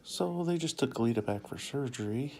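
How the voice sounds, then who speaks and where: A man in a hospital room giving calm, matter-of-fact updates for a vlog while his partner is in surgery. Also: mid-range voice, fairly quick, gravelly, slight background noise.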